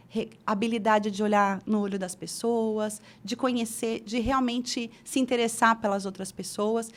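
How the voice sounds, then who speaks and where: A middle-aged woman from Brazil, an interview guest telling her career story in a chatty way with many restarts. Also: fairly high pitch. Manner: chatty, frequent restarts and fillers.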